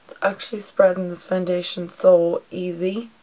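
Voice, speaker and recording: A young Irish woman in her twenties, a bit hoarse from a sore throat, chatting casually through a product review in her bedroom. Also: fairly low pitch, flat delivery, moderate pace, darkish tone, rough recording. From Ireland. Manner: chatty and rambling, fillers like "like" and "um". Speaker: beauty vlogger. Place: bedroom indoors.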